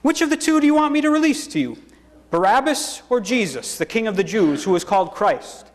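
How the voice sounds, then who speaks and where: A man's voice: high voice, very animated, fairly quick, long reverb tail, voice pushed up. A man voicing a troubled Roman governor in a dramatised scripture reading, formal and emphatic, pleading with authority. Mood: appealing.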